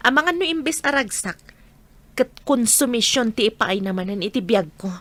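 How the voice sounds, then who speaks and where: Babae nga agakem a naliday nga ina. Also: female voice, a little high, expressive, normal speed, a bit bright. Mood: wary. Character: sorrowful, guarded single mother, abandoned by her husband. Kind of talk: radio drama acting.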